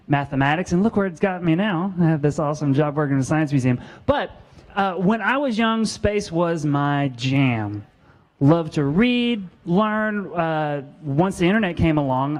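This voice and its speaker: A man's voice: a little high, a little mellow. A young man hosting a live science talk in a museum cafe, chatty and upbeat. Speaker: host.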